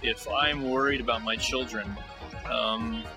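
A man's voice: medium pitch, fairly even intonation, unhurried, low-quality recording. A man, a missionary parent, speaking candidly about belonging and his kids in a mission video. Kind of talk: interview in a ministry video. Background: music.

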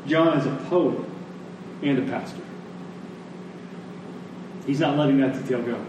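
A man preaching a sermon to a congregation, phrasing deliberately and emphatically and repeating lines for effect. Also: medium pitch. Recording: echoey room, distant mic, faint noise.

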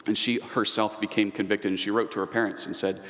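A man's voice: fairly low pitch, level tone, fairly quick, a bit bright. A man giving a conversational history lecture. He wanders into asides and personal anecdotes as he teaches. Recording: long reverb, echoey hall.

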